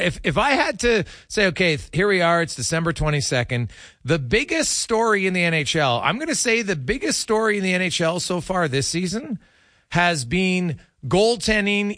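A man's voice: sits high, sharp tone, no room sound. A man hosting casual sports talk on the radio, close to a studio microphone.

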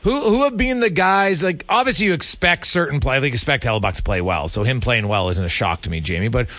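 A man's voice: mid-range voice, lively intonation, fast, a bit bright, no echo. A man hosting a casual sports talk interview, informal and blunt with his opinions. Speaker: sports radio host.

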